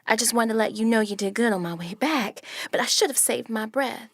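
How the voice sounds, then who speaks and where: A woman playing a prim, sharp-tongued young woman in a radio play. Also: fairly high pitch, fairly quick, no room sound, clean studio sound. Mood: indignant, sparring. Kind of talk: stage-play dialogue for radio.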